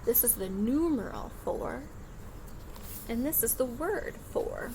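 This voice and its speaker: A woman reading a picture book aloud to young children as a teacher, expressive and sing-song, pausing to explain in simple words. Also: fairly high pitch, creaky, background noise. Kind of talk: animated children's read-aloud with singing and counting.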